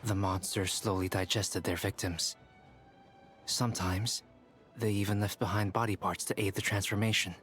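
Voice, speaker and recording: A man voicing a composed, clinical young alchemist in a video game, measured and formal. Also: lowish voice, steady tone, a bit bright, natural voice. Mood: grave explaining. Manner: measured, matter-of-fact, formal. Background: game music.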